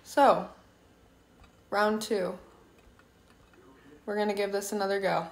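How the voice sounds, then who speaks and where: A young woman with a hoarse, raspy voice from a lingering cough, chatting earnestly in a casual livestream and giving advice from her home kitchen into a phone at arm's length. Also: medium pitch, steady tone, takes it slowly. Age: young adult. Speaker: livestreaming mom and team leader. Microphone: phone camera at arm's length. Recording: echoey kitchen room sound.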